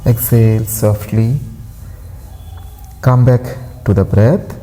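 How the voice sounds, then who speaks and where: A man leading a guided meditation as an instructor, giving gentle, soothing, unhurried instructions with long pauses. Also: fairly low pitch, fairly even intonation, slight background noise.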